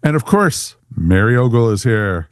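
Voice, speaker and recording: A man's voice: mid-range voice, big pitch swings, moderate pace, no echo, clean studio sound. A man from the United States hosting a podcast in a chatty, rambling, welcoming way. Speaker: podcast host. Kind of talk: casual podcast talk.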